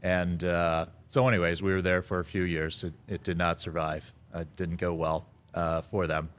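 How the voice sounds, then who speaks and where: A middle-aged man with a very low voice tells his story in a relaxed interview. He talks haltingly, filling gaps with "uh" and "um." He lets out an easy chuckle here and there. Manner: halting, lots of "uh" fillers, chuckles now and then. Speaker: restaurant founder, podcast guest.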